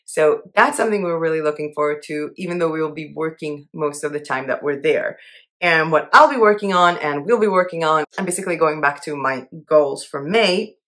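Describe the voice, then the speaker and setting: Woman, young adult, low voice, casual monthly update, chatty, upbeat. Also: fairly quick, fairly bright tone. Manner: chatty, upbeat, laughs at herself. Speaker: channel host.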